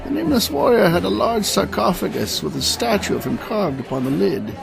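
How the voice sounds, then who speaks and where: A man's voice: sits high, lively intonation, fairly quick, creaky, over music, exaggerated voice. A man playing an ancient, courteous knight who tells long tales in a formal, old-fashioned way in a fantasy audio drama. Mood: reminiscing.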